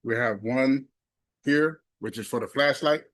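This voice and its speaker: A man with a mid-pitched voice walks through a product demonstration in a casual, folksy manner. He leans on key words and talks himself through each step. The recording is close and dry, with very little room sound. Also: measured pace, a bit bright, dry recording. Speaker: product reviewer. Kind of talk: casual hands-on gadget demonstration.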